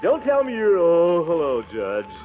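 Pompous radio gentleman, wildly swooping voice. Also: fairly high pitch, huge pitch swings, unhurried. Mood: delighted, courtly. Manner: pompous, mock-courtly, flustered. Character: pompous, sociable, easily wounded middle-aged gentleman suitor. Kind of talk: old-time radio comedy acting. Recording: tinny old radio recording, music behind.

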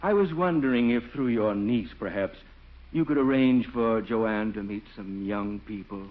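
A man's voice: lowish voice, slight background noise, close to an everyday voice. An actor playing a courtly, sanctimonious older clergyman, formal and slightly absent-minded, in a 1940s radio comedy. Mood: solemn, courteous. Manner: formal, scripture-quoting, measured. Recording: muffled 1940s radio broadcast.

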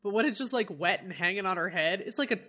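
A woman co-hosting a comic book podcast in casual chat, a little croaky from a cold, chatty and laughing easily. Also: mid-range voice, lively intonation, fast, sharp tone. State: getting over a cold, slightly croaky. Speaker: podcast co-host.

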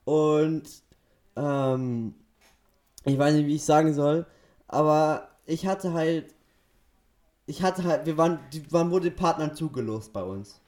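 A young man co-hosting a podcast in casual chat, telling stories haltingly and often correcting himself. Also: on the high side, darkish tone. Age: teenager or young adult. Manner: halting, self-correcting storytelling. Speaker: podcast co-host.

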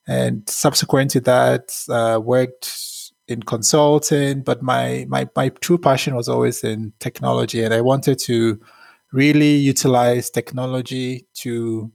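A man in his thirties from Ghana, a tech founder answering interview questions in a measured, thoughtful way with frequent fillers. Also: medium pitch, fairly even intonation, vocal fry, darkish tone, no room sound.

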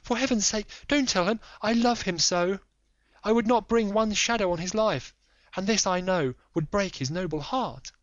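A man playing a haughty lady of rank, her voice pitched high and tight with strain. The audiobook sound is dry and a little dull. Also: very animated, a little fast, a bit bright, no room sound. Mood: pleading. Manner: high, strained, pleading delivery. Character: proud aristocratic lady, desperate, defiant. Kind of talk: audiobook reading.

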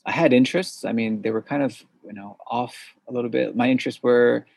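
A man from suburban New York, a podcast guest who answers thoughtfully with hesitant pauses. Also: medium pitch, creaky, a little mellow, no echo. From suburbs of New York City. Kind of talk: interview conversation.

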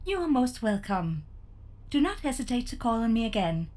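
A high, chirpy AI assistant. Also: female voice, sing-song, normal speed. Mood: eager, servile. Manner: formal, over-polite, bright-spirited. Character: eager, courteous, chirpy servile AI assistant. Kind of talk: audio drama acting.

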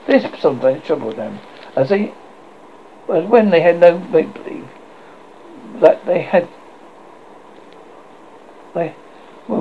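A man reading a classic children's novel aloud, expressive but stumbling and restarting often, on a muffled lo-fi recording with faint hiss. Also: on the high side. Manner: expressive, with frequent slips and restarts. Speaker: audiobook reader.

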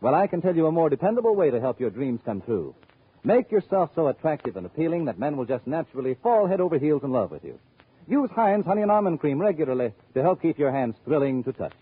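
A man reading a persuasive scripted advertisement as an old-time radio announcer, with a husky voice. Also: sing-song, quick pace. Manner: persuasive sales pitch. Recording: muffled old radio recording.

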